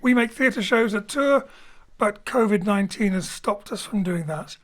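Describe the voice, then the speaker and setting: A man hosting and introducing an audio drama from his home studio, relaxed and lightly joking. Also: high voice, moderate pace. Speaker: host and director. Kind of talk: friendly show introduction. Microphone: home studio mic.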